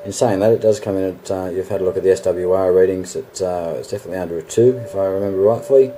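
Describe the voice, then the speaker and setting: A man giving a casual, off-the-cuff equipment demonstration as a radio hobbyist, halting as he thinks aloud. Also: low voice, warm tone. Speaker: amateur radio hobbyist presenting a video. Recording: noisy recording with radio hiss and hum.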